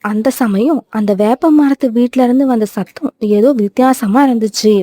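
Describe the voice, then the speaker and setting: A woman narrating a children's cartoon story in a clear, even storytelling manner. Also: on the high side, normal speed, dry recording.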